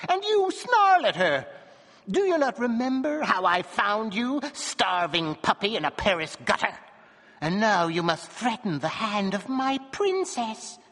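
A man voicing a proud male dwarf suitor with a shrill, high voice, in a reverberant space. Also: a bit bright, exaggerated voice. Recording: long hall reverb.

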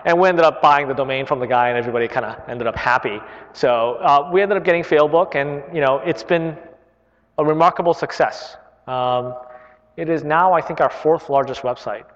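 A man's voice: on the high side, brisk, fairly bright tone. A man in his thirties, from Korea and not a native English speaker, answering audience questions in a casual, chatty way full of "right?" and "uh". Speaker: internet company chief executive. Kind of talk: live Q&A talk. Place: large public library hall. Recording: dull hall recording with long reverb.

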